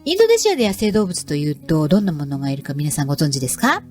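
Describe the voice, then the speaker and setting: A woman's voice: low-pitched, big pitch swings, rapid-fire, a little mellow, faint music behind. A woman hosting a radio program in a polite, presenterly manner, introducing it as navigator. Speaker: program navigator and environmental education instructor. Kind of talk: scripted radio show introduction.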